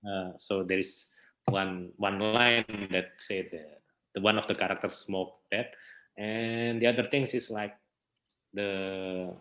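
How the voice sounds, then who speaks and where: A man with an Indonesian accent answering interview questions in a hesitant, halting way, searching for words. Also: on the low side, steady tone, a bit bright, rough recording. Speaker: interview guest, theater festival director.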